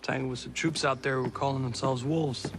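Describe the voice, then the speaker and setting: An actor playing a weary, stranded soldier in a video game cutscene. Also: male voice, mid-range voice, fairly even intonation, a little fast, gravelly, background noise, lightly acted. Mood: weary, uneasy.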